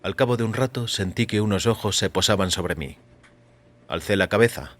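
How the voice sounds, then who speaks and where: A man reading a first-person short story as a narrator, in a measured, deliberate way. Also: lowish voice. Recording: music under the voice. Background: background music.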